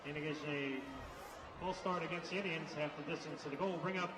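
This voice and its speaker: A man's voice: on the high side, steady tone, a little fast, noisy background. A man making clipped, official public address calls at a football stadium. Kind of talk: formal PA announcing. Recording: distant echoing stadium PA amid crowd.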